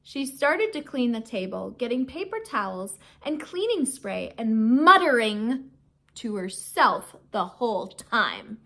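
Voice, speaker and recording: A high-voiced woman narrates a children's book as a teacher would. She takes her time, with theatrical emphasis that draws young listeners in. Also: very animated, slow, a bit bright. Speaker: teacher reading aloud to children. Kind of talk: expressive children's storybook narration.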